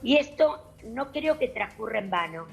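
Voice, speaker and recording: A woman doctor with an Argentine accent, explaining calmly and methodically on a television panel. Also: fairly high pitch, creaky, faint music behind. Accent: Argentine Spanish. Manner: measured, explanatory, addresses host formally.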